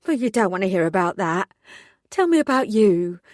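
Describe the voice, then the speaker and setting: A woman's voice: medium pitch, big pitch swings, normal speed, dry recording, clean studio sound, natural voice. A woman voicing an effusive, chatty woman in her mid-forties who gushes and gossips. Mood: gossipy.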